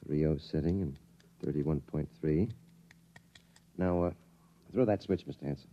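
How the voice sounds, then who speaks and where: A calm military psychiatrist with a deep, low voice. He talks in measured, clinical phrases and takes command with authority, in the manner of 1950s radio drama. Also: highs cut off, slight background noise. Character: calm, authoritative male army psychiatrist running a memory probe.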